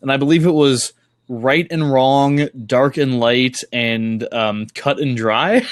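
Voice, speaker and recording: A man with a mid-pitched voice talks as a casual chat host in a dry, close space. He rambles and halts, often restarting his phrases, and swears offhandedly. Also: no room sound. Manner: rambling, halting delivery with frequent self-corrections. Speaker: podcast host.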